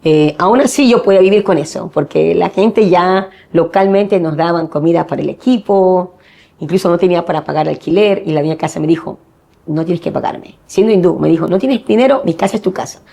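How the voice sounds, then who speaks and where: Low gravelly woman telling her story. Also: fairly quick, warm tone. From Ecuador. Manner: earnest storytelling, acting out quoted dialogue. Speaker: missionary guest. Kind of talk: personal testimony in interview.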